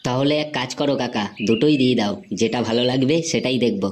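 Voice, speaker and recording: A man's voice: medium pitch, quick pace, noisy background, natural voice. A man voicing a cocky, scheming village boy in a Bengali comedy cartoon, brash, bossy and slangy. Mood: sly, friendly.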